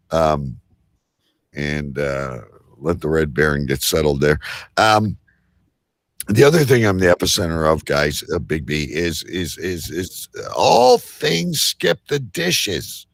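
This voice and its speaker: A man hosting a live show in rambling, casual banter, halting at times and then suddenly emphatic. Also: low-pitched, creaky, fairly bright tone, no echo, clean studio sound. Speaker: host of a live online show.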